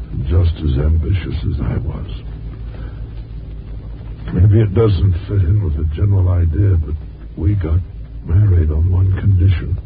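A world-weary newspaperman on his deathbed in a 1950s radio drama. His low voice is weak, halting and reflective. The old recording is very muffled, with clear noise. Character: dying, world-weary former newspaperman recounting his past.

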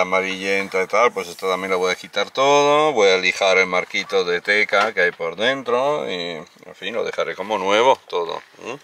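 A man from Spain explains a hatch repair step by step aboard a moored sailboat. His voice sits low but has a bright edge. He is a bit far from the mic, with faint noise behind him. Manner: explains step by step, plain and practical. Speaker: vlog co-host doing boat repairs. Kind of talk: casual how-to explanation.

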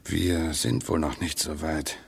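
An actor in a radio play voicing a dryly ironic, resigned husband, speaking in measured, formal phrases with a husky, gravelly voice. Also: male voice, very deep voice, level tone, exaggerated voice. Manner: measured, dry, sardonic, formal wording. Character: bitterly ironic, resigned husband trapped underground with his wife.

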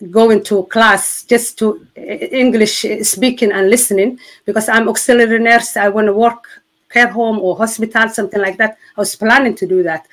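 A middle-aged woman from Somalia with a Somali accent, giving her personal testimony as a guest on a video call, earnest and halting with many fillers and restarts. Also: mid-range voice, a bit bright. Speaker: interview guest. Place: indoors in front of a city-skyline backdrop.

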